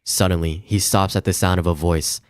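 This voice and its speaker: Deep-voiced man narrating a visual novel. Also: fairly even intonation, normal speed, no echo, clean studio sound. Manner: informal, swears, stumbles over long words. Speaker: streamer. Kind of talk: reading visual novel narration aloud with casual asides.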